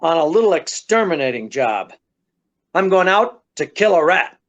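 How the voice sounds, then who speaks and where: On a slightly muffled recording, a determined old-time radio gumshoe, played by a man, talks in brusque slang. He is wisecracking and commanding, with a fairly high voice. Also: sing-song, moderate pace. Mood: grimly determined. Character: tough, determined, wisecracking male private detective. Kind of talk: 1940s radio acting. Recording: dull, band-limited sound.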